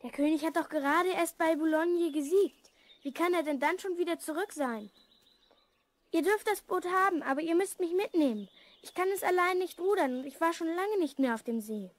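A woman's voice: very high-pitched, normal speed. A woman playing a lonely, imperious young princess in a children's audio drama.

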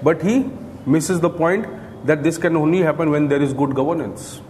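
In a reverberant room with clear background noise, a middle-aged Indian-accented man lectures to a class. His voice sits a little high for a man. He explains step by step and often tags his points with a checking question. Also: a little mellow. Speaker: coaching lecturer. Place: indoor classroom, at a podium beside a screen.

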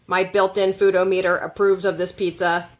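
A woman voicing a logical, literal-minded male robot in a children's storybook, with measured, formal wording. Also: on the low side, level tone, lightly acted. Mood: friendly.

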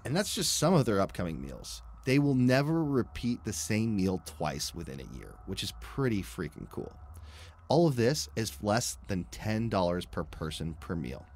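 A man's voice: lowish voice, very animated, normal speed, a little mellow. A man hosting a podcast, reading a sponsor spot in a casual, conversational way.

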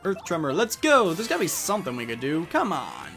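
A young man giving casual, animated commentary as the host of a video game let's play. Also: a little high, a little fast, vocal fry, soft background music.

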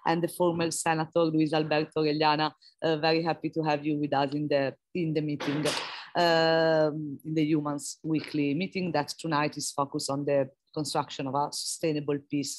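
Woman in her 30s running a video call meeting, earbud mic, lots of uhs, pretty flat voice. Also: deep voice, moderate pace, no echo. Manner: conversational, with many fillers and restarts. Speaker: co-president and meeting host. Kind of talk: hosting an online meeting. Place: large indoor hall with windows. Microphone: wired earbud headset on a video call.

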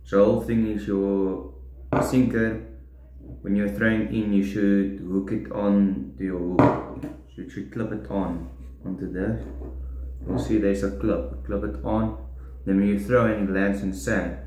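A young man explaining step by step how to tie fishing tackle, in a halting, plain way while he works at a table indoors. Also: on the low side, flat delivery, a little mellow. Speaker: fishing tutorial host. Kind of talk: step-by-step demonstration. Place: indoors at a wooden table, echoey room. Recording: roomy echo, faint room noise.

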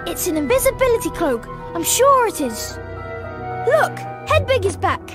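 A performer playing an eager, excitable young schoolboy wizard in a video game. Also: very high-pitched, normal speed, over music. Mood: excited, eager. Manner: eager, wide-eyed.

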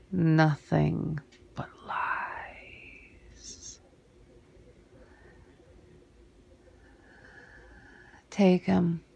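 A woman playing a cold, sardonic vampire crime boss in an ASMR roleplay, breathy and husky, often sinking into a whisper with a deliberate, menacing drawl. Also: deep voice, darkish tone, heavily acted. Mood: cruel, threatening. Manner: slow, deliberate, menacing drawl with long pauses.

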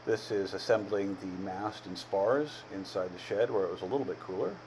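A man in his fifties giving a casual, plain-spoken, unhurried voice-over as an amateur builder narrating his project. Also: low voice, a little mellow, slight background noise, poor audio. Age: 50s.

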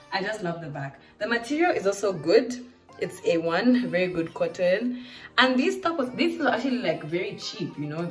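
Chatty Zambian teen girl in echoey room. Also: lowish voice. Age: late teens. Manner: chatty, bubbly, lots of fillers. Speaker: vlogger. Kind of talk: casual try-on haul chat. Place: living room at home. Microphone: camera mic from across the room. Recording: echoey room, distant mic, faint music.

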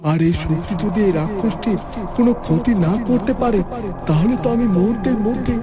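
Guy doing a high voiced magic orb hero, brave but scared, cartoon drama, loud music under it. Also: brisk, natural voice. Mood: anxious. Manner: anxious, dramatic, determined. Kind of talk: melodramatic cartoon fairytale voice acting.

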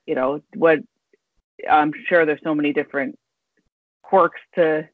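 A woman in her thirties hosting a podcast, thinking aloud in halting, rambling sentences over a video call. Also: sits low, fairly even intonation, no room sound. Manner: rambling, halting, full of restarts and fillers. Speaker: podcast host. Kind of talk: casual interview chat. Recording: tinny dry video call audio.

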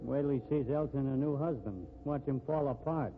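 An actor playing a stern, jealous, blunt friend, lecturing forcefully in 1950s radio style. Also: male voice, medium pitch, a little fast, over music, natural voice. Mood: scornful warning. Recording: tinny, noisy 1950s radio recording.

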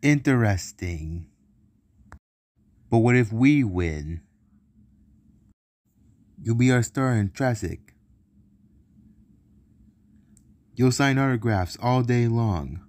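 A man's voice: mid-range voice, animated, slow, long pauses, darkish tone. A man voicing a big, bossy, short-tempered cartoon villain boss who blusters and repeats himself. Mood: sly. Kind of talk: cartoon villain character lines.

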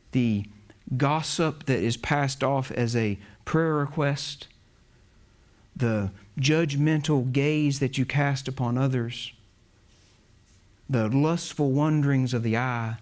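Slow, earnest young male preacher. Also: medium pitch, a little mellow. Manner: slow, earnest and emphatic, stressing key words. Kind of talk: chapel sermon. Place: chapel, behind a pulpit.

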